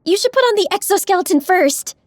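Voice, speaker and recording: A woman playing a youthful, enthusiastic, easily awed sidekick in a science fiction audiobook, with an eager, openly expressive delivery. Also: very high voice, fast, dry recording. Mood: insistent, curious.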